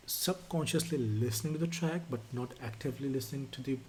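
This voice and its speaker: A native Urdu-speaking man co-hosting a song reaction, talking in a reflective, halting way and often repeating words. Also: mid-range voice, a little mellow, slight background noise. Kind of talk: casual reaction chat.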